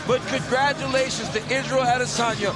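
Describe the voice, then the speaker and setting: A man's voice: extremely high pitch, fairly even intonation, fairly quick, poor audio. A man giving analytical, earnest color commentary on a broadcast. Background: loud music and crowd.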